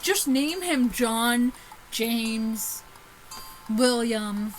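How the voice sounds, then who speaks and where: Female gamer, animated delivery, reads story games aloud with giggly, sweary asides, music playing behind her. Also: fairly high pitch, drawn-out words, rough recording. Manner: sweary, giggly, stumbles while reading. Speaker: gaming YouTuber. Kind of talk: casual let's play commentary and read-aloud of a visual novel.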